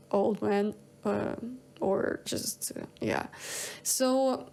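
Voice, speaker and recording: A woman's voice: mid-range voice, gravelly, a little mellow. A young woman from Kazakhstan, a singer-songwriter, talking casually on her own show, with lots of fillers and restarts. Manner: rambling, hesitant, many fillers and restarts. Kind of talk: casual solo monologue.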